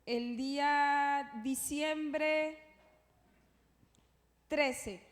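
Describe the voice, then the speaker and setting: A woman with a Peruvian Spanish accent teaching a church class informally, chatting with playful asides and often checking that listeners follow. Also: high-pitched. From Peru. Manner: conversational, playful asides, frequent checking tags. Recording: echoey hall with long reverb.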